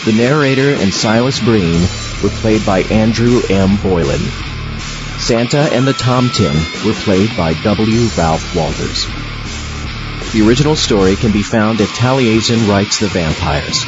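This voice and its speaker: A man reading scripted credits in a deliberate, formal manner. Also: on the low side. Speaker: credits announcer. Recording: dull audio, loud guitar music behind. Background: plucked guitar music.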